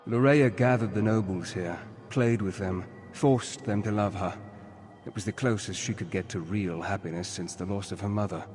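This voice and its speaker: An actor playing a solemn, regretful leader in a video game, speaking formally and measuredly. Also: male voice, fairly low pitch, moderate pace, darkish tone, close to an everyday voice. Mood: grave explaining. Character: grave, regretful male mentor and leader guiding a campaign. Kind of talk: scripted video game dialogue. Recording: long hall-like reverb on the voice.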